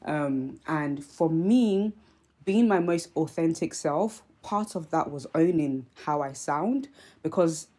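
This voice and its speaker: A woman's voice: deep voice, expressive, slow, mellow. A woman in her twenties with a mixed Nigerian and British accent, speaking earnestly and candidly to camera in an opinion vlog, with frequent fillers and restarts. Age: 20s. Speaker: YouTuber.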